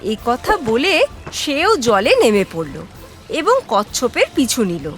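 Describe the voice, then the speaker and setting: A woman narrating a scripted story for children in an expressive way. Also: on the high side, slow, soft background music.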